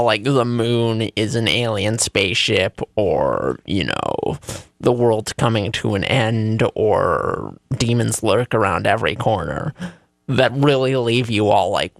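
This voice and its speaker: Gravelly man co-hosting a talk show. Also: fairly low pitch, expressive, a little slow, fairly bright tone, no room sound, clean studio sound. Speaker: radio show co-host. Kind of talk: casual chat.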